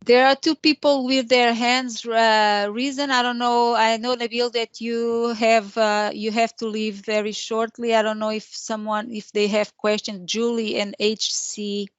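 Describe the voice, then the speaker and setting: A woman's voice: a little high, little pitch movement, unhurried, few pauses, clean tone, no room sound. A woman hosting an online meetup, speaking casually with many fillers and restarts. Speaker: meetup organizer. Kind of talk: casual online meeting talk. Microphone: video call. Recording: dull lo-fi call audio.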